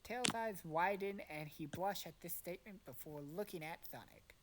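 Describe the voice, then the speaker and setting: A woman's voice: lowish voice, normal speed, heavy background noise. A woman narrating a story informally for friends, as an amateur reader with exasperated asides. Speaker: narrator of a fan fiction reading.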